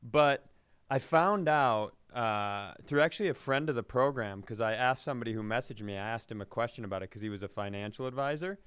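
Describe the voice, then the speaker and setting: A man's voice: medium pitch, brisk, fairly bright tone, clean tone, no room sound. A man hosting a comedy podcast, telling stories casually in a dry, self-deprecating way. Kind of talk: casual comic banter.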